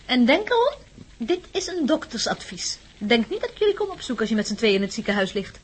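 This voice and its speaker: Sensible, concerned woman doctor, animated delivery. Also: on the high side, quick pace. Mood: worried, scolding. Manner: cautioning, practical. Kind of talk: Dutch radio play acting. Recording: faintly noisy radio drama recording.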